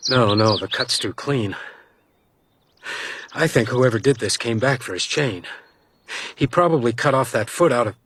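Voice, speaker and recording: A man's voice: mid-range voice, moderate pace, pauses often, a bit bright, a little hiss or hum, natural voice. An actor playing a stoic, blunt male detective in a radio crime drama, with dry, understated delivery. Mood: matter-of-fact.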